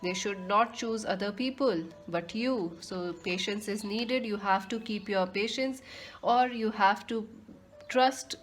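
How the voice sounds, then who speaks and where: A woman's voice: medium pitch, unhurried, faint music behind. A woman with a sweet voice doing a casual tarot card reading, speaking earnestly to viewers and calling them friends. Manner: earnest, repeats herself, addresses listeners as friends. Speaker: tarot reader.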